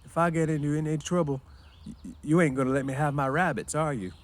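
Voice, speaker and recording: Simple-minded big farmhand voiced by a male reader, high plaintive tone, childlike, defensive, rural grammar, audiobook style. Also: quick pace, lightly acted. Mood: anxious, eager.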